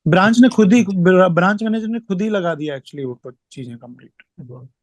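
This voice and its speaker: A man's voice: sits high, normal speed, dry recording, lo-fi sound. A man deferentially reporting work practices to his superior on a conference call, often stumbling over his words. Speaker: regional staff member reporting.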